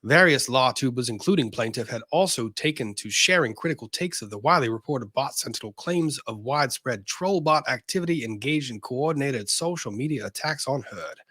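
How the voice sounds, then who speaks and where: A man's voice: medium pitch, lively intonation, fairly quick, hardly any pauses, bright, forceful tone, husky, no room sound, studio quality. A man co-hosting a legal commentary show, reading a lawsuit aloud dramatically and breaking in with emphatic asides.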